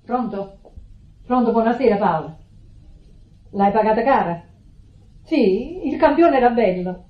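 A woman's voice: fairly high pitch, lively intonation, unhurried, echoey room, a little hiss or hum. An actress with a Neapolitan accent playing a defensive, righteous middle-aged sister in a radio drama, insistent and repeating her words. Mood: subdued, confiding. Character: defensive, wounded, righteous sister running the household. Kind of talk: stage-style Italian radio drama acting. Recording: muffled old radio drama recording.

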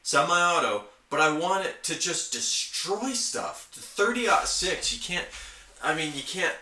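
Guy on a podcast nerding out, bright voice, roomy and kinda far from the mic. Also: on the high side, expressive, a little slow, faint music behind. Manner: enthusiastic, nerdy explaining. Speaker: podcast host. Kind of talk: casual hobby chat. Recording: roomy, echoey, distant from mic.

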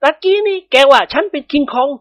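A woman voicing a stern, easily riled older male nobleman who barks and shouts in bursts. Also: sits high, animated, fast talker, no room sound, pitched above the performer's own voice. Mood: gruff. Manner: gruff barking, shouting in bursts.

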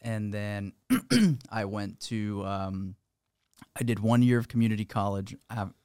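A man's voice: lowish voice, animated, a little slow, a little mellow, clean tone, no echo. A man in his twenties from Florida, a guest in a podcast studio, telling his story in an easygoing, casual way. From Florida, USA. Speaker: guest entrepreneur. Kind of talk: casual interview chat.

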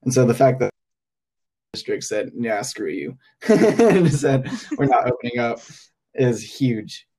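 A man's voice: mid-range voice, very animated, a little slow, dark tone, poor audio. Hesitant young man from Hong Kong. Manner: hesitant, thinking aloud with many fillers. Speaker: podcast guest, English teacher. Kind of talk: casual podcast chat.

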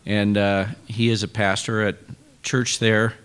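A middle-aged man with a fairly low voice introduces the morning's speaker. He slips in small jokes and pauses on fillers as he goes. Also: level tone, a bit bright, clear, resonant tone. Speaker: event host introducing a speaker.

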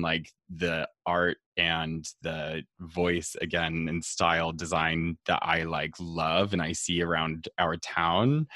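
A young man with a very low voice talks casually, rambling and restarting with plenty of fillers. The recording is dry and close, with no room sound. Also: bright, forceful tone, no room sound. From rural Nevada, USA. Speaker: marketing staff guest. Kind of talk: casual podcast chat.

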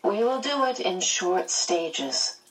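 Lady doing a gentle otherworldly guide, slow explaining, kinda far from the mic. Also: mid-range voice, very animated. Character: kindly, patient otherworldly female guide.

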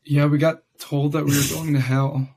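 Raspy young man hosting a podcast. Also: a little high, flat delivery, moderate pace, a little mellow. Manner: loose and rambling, many fillers. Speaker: podcast host. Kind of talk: casual chat.

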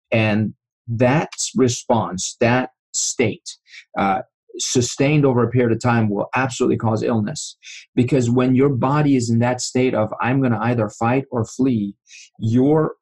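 A man's voice: medium pitch, measured pace, a little mellow, low-quality recording. A man in his forties from Iran, a guest on an interview, earnestly recounting memories and often restarting his sentences. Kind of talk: storytelling interview.

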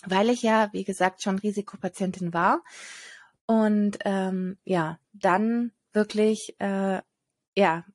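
A woman shares her own story in a relaxed podcast conversation, speaking earnestly and stopping often to search for words. Her voice sits at a medium pitch and sounds dry and close, with no room echo around it. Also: slow. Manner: earnest, hesitant with frequent fillers. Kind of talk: personal testimony in casual conversation.

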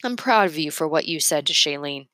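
A woman voicing a low-key, frank, regretful adult uncle with a plain, hesitant manner. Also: expressive, fairly quick, fairly bright tone, no echo. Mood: quiet, resolved.

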